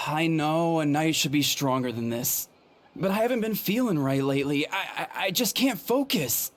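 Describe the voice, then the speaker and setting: An actor playing a weary, worried young knight in a video game, hesitant and earnest. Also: male voice, on the high side, expressive, moderate pace, natural voice. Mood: worn, anxious. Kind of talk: video game character acting.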